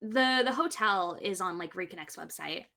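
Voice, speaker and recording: A woman's voice: lowish voice, animated, normal speed, gravelly, pushed and bright. A young woman chatting casually and upbeat as a guest on a podcast. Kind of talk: casual chat.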